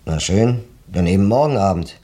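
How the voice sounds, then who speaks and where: A man's voice: deep voice, expressive, moderate pace, warm tone, slightly rough. An actor playing a teasing male friend who jokes too much, in a radio crime drama. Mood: friendly. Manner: joking, then apologetic.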